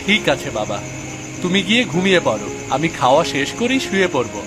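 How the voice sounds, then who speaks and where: A man acting as an earnest young man in a cartoon drama. His voice is quite high and swings expressively in pitch, with music clearly playing behind. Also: very animated, fast talker. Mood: casual, reassuring. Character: earnest young village son back from the city. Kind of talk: animated cartoon story dialogue.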